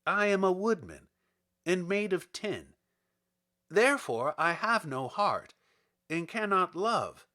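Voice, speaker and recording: Guy doing a storybook tin man, earnest and pleading, high bright voice, takes his time. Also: sing-song, slow, long pauses, full character voice.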